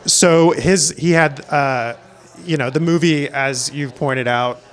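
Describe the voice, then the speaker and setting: A man's voice: on the high side, slow pace, a bit bright. A middle-aged American man from Florida, a film director talking casually and telling personal stories as an interview guest. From Florida, United States. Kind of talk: casual panel talk, personal storytelling.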